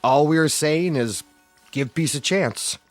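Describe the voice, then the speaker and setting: An actor playing a blunt, skeptical Russian delegate in a radio drama, with formal, pointed, oratorical speech. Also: male voice, a little high, unhurried, soft background music, natural voice. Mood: insistent.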